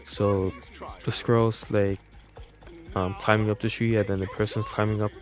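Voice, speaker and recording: A young man chatting casually as a game streamer, rambling and halting as he goes. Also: on the low side, level tone, soft background music. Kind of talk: casual livestream chat.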